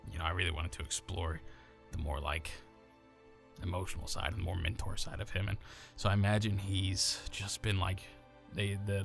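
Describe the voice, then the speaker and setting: Very deep-voiced man with natural gravel. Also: fairly bright tone. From American South. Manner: casual, rambling, many fillers and restarts. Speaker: voice actor. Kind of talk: explaining how he builds a character voice.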